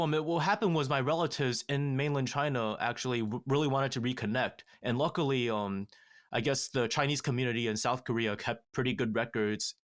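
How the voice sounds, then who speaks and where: A man in his thirties telling a family story in casual podcast talk, measured and halting with frequent fillers, recorded on a studio mic in a small treated room. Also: medium pitch, fairly bright tone. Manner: measured, many "um" fillers and restarts. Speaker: podcast guest. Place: small home studio with acoustic foam panels. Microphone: studio mic with headphones.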